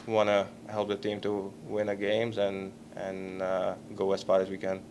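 A young man in his twenties with a Czech accent, answering postgame questions at a press table microphone, halting and searching for words. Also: deep voice, monotone, noisy background. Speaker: hockey player at a postgame press conference. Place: press conference room.

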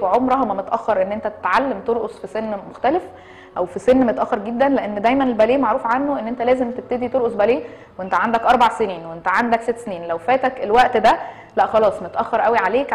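An Egyptian woman speaks very quickly in a somewhat high voice. She explains herself to camera in an interview, stressing points through repetition. English terms are mixed into her speech. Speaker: dance and fitness studio co-founder, interviewee. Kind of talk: interview talk to camera, mixing in English words. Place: small room indoors.

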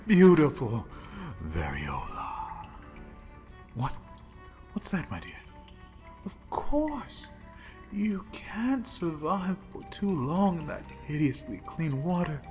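A man voicing an obsessive, contemptuous male villain who breeds a plague, talking in menacing whispers and sing-song repetition over faint music. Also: mid-range voice. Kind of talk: villain roleplay audio drama.

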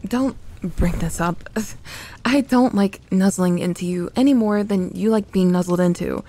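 A woman playing a young tsundere tomboy best friend in an intimate audio roleplay, grumbling and flustered but secretly affectionate, in a soft voice that often turns to whispering. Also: medium pitch, normal speed, a little hiss or hum, natural voice. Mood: grumbling, shivery. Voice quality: soft, breathy.

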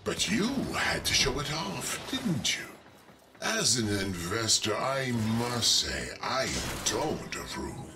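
An actor playing a clever, smug male scientist in a video game, taunting and deliberate in his sardonic defiance. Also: mid-range voice, very animated, vocal fry, over loud music, exaggerated voice. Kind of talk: dramatic video game acting. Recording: distant voice under loud game noise.

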